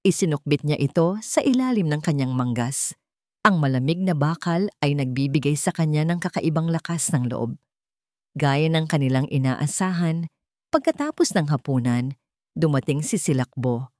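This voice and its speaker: A woman's voice: low voice, expressive, moderate pace, a little mellow, no echo, studio quality. A woman storyteller reading a dramatic scripted narration in a suspenseful, emotive manner.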